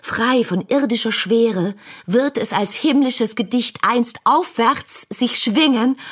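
An actress playing an ardent, lovestruck young woman who speaks her letters lyrically and fervently in a radio drama, with a husky voice. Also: a little high, fairly bright tone, heavily acted. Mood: rapturous. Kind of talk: literary radio drama monologue.

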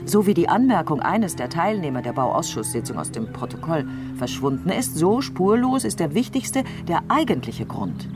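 An actress playing a troubled, brooding politician in a crime radio play, speaking reflectively and intensely, sometimes halting. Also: female voice, sits low, sing-song, music in the background. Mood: bitter, pensive. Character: troubled, brooding female politician doubting the official truth. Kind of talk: dramatic radio play acting.

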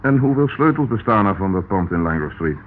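An actor playing a persistent police inspector who questions firmly and insistently. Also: male voice, low voice, expressive, a little fast. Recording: tinny old radio broadcast, faint hiss.

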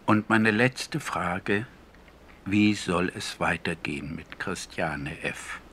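Slow, deep-voiced man interviewing. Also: a bit bright. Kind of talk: reflective documentary radio interview. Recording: faintly noisy 1970s radio recording.

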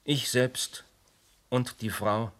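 An actor in a radio play playing a guarded, dignified man under police questioning who speaks in a measured way. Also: medium pitch, fairly even intonation, a bit bright. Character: reserved middle-aged man being questioned, guarded and dignified. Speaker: suspect Monsieur Cernik.

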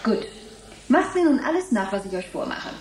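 A woman's voice: medium pitch, very animated, normal speed, a little mellow. An actress playing a wry, didactic woman who coaches androids in speech, in a science fiction radio play. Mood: brisk, instructive. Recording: echoey, clear background noise.

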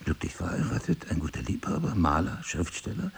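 An actor playing a middle-aged amnesiac husband in an audio drama, husky and gravelly, probing and dryly self-mocking. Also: male voice, deep voice, big pitch swings, a little fast, whispered, exaggerated voice. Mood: wryly self-impressed. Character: amnesiac husband, a crime writer, searching and wryly ironic. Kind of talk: intimate audio drama dialogue.

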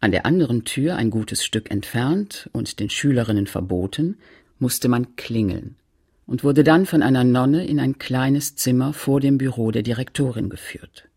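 A man with a husky, creaky voice reading scripted literary narration in a radio play. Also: medium pitch, expressive, normal speed, dark tone. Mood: measured. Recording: music behind the voice.

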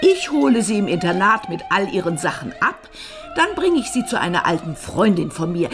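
An actress playing an older, commanding and protective matriarch in a German radio crime drama, brisk, emphatic, with a creaky voice. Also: female voice, fairly low pitch, sing-song, music in the background.

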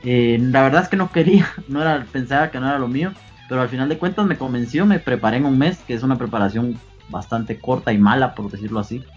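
A young Guatemalan man in his twenties with a slightly husky voice, answering questions in an earnest, halting way. The sound is dull and lo-fi, with faint music underneath. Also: medium pitch. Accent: Guatemalan Spanish. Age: 20s. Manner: earnest, pauses with many fillers. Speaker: guest athlete. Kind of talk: interview answers.

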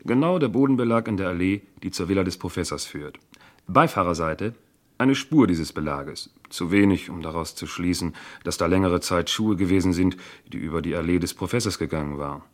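A diligent police inspector in a radio crime play. His voice is low, and he itemises things precisely, in a matter-of-fact, methodical manner. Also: lively intonation, fairly quick. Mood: factual, methodical. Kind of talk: German radio crime drama acting.

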